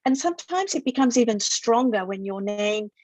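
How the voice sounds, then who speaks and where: A woman's voice: on the high side, lively intonation, normal speed, no echo. A woman from Chile, speaking as a guest researcher on a video call, earnest and reflective, often repeating words as she thinks. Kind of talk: conference talk and chat on a video call.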